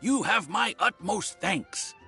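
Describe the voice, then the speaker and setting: A man's voice: sits high, lively intonation, slow pace, bright, forceful tone, faint music behind, full character voice. A man playing a jovial, effusive house owner in a video game. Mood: grateful, jovial. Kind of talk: video game character acting.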